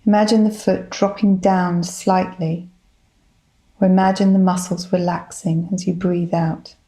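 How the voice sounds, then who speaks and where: A woman leading a guided body scan meditation, giving gentle, soothing instructions in a calm manner. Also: on the low side, a little slow, poor audio. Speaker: psychologist, guided meditation leader.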